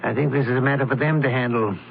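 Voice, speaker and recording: Thin noisy radio sound, man acting a composed doctor detective, gravelly, slightly high voice, measured and probing. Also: steady tone, faint music behind, close to an everyday voice. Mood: firm, accusing. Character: shrewd, composed male doctor sleuth questioning suspects. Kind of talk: old-time radio drama acting. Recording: thin, noisy phone-like vintage radio recording.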